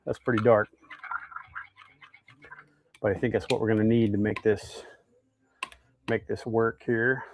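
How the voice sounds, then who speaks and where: A man's voice: fairly low pitch, level tone, a little slow, pauses often, a little hiss or hum. Folksy, easygoing American man. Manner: folksy, easygoing, thinks aloud while working. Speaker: host of a fishing lure making livestream. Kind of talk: casual live demonstration with chat to viewers.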